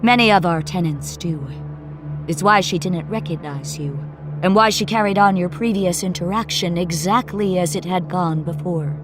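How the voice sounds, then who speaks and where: A woman's voice: on the low side, moderate pace, over music, close to an everyday voice. A woman voicing a kindly, matter-of-fact male caretaker with polished customer-service patter, in a dramatised ghost story. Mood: calm, matter-of-fact. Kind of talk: dramatised audiobook dialogue.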